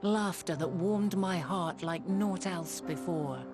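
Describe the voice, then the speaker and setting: A serene ancient scholar, speaking in a very low, grave voice with slow, reverent, old-fashioned phrasing. Her lines come as game cutscene dialogue over a swelling orchestral score. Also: over music. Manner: slow, solemn and reflective, archaic phrasing.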